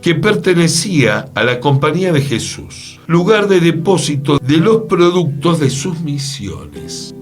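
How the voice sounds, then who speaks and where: A man's voice: a little high, big pitch swings, measured pace, hardly any pauses, faint music behind. A man with a Buenos Aires accent presenting a radio show in a chatty, storytelling way. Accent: Argentine, Buenos Aires. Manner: chatty, storytelling, poses questions to co-host. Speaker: radio host. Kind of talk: conversational radio presenting about theatre history.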